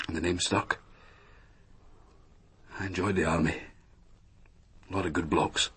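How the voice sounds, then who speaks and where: Lonely middle aged guy, really low husky voice, halting, defensive, radio play. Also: a bit bright, full character voice. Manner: hesitant, halting, repeating himself. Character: weary, lonely married businessman and ex-soldier, defensive. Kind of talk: naturalistic radio drama acting.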